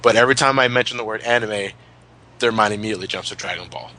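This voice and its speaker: A man's voice: on the low side, fast talker, sharp tone. A man in his twenties chatting casually as a co-host of a group commentary. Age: 20s. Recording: clearly audible background noise.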